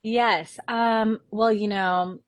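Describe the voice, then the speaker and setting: A woman's voice: fairly high pitch, fairly even intonation, drawn-out words, no room sound. Earnest woman novelist, dry close sound. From New York, United States. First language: Korean. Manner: earnest, with many "you know" fillers. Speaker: novelist guest. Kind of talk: casual interview conversation.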